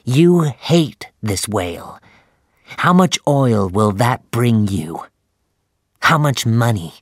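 Brief: narrator playing a calm, cautious ship's mate, low and measured, slow, dry recording. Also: male voice, no room sound. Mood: calm, firm. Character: steady, cautious first mate, adult man.

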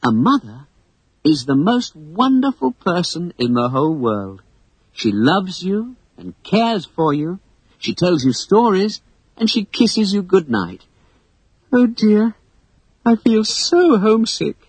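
A man doing a young girl, high and earnest, defiant edge, husky, dry close sound, storybook heroine. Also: big pitch swings, slow, darkish tone, dry recording, full character voice, rough recording. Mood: tender. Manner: pleading, then defiant.

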